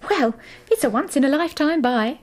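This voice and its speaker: A woman's voice: high-pitched, expressive, brisk. An English actress playing a chirpy, evasive young female shop assistant in a radio drama, speaking in a salesy way. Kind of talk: 1990s radio drama acting.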